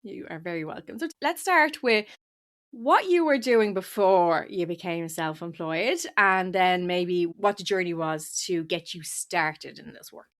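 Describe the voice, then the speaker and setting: Woman host, lowish voice, easy encouraging interview manner. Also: unhurried, fairly bright tone. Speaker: podcast host. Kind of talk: interview chat.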